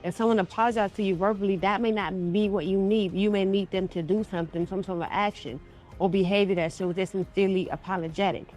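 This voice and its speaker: A woman's voice: mid-range voice, fairly even intonation, fast, a little mellow, faint music behind. A woman hosting a conversational interview show, talking in a folksy, affirming way and addressing listeners directly. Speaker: radio show host.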